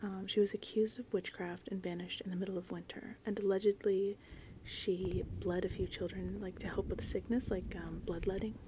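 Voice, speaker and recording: A woman in her thirties whispers softly and breathily in a casual, meandering ASMR ramble, chatting informally. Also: medium pitch, steady tone, normal speed, dull audio, a little hiss or hum. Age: 30s. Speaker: ASMR host, horror film fan. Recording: rain and thunderstorm ambience behind. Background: gentle rain and thunderstorms.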